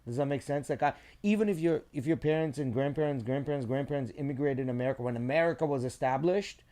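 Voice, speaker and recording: A man's voice: medium pitch, fast, dark tone. A man co-hosting a podcast, chatting casually and in an opinionated, slangy way.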